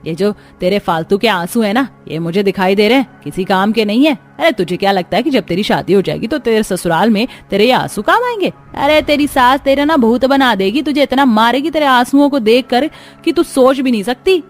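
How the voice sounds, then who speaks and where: A woman's voice: fairly high pitch, lively intonation, brisk, soft background music, close to an everyday voice. A woman playing a scolding, spiteful aunt with a nagging manner in a cartoon. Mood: angry threatening. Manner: nagging, sharp-tongued. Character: scolding, spiteful aunt who nags her niece.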